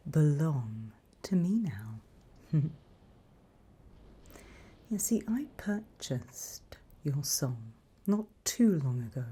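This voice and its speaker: A sly British demoness, acted by a woman, speaks in a very breathy, husky murmur that often turns to whisper. Her voice is low and soft-edged. She is unhurried, teasing and condescending, in the style of an ASMR roleplay. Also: full character voice. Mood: mocking. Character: sly, mocking female demon who trades in purchased souls.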